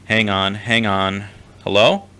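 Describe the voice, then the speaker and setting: Bright-voiced, conflicted earnest man acted out. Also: on the low side, steady tone, takes it slowly, clean tone. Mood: guarded, dry. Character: conflicted, earnest man wrestling with God and himself. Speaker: actor in an audio drama. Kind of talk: scripted audio drama acting.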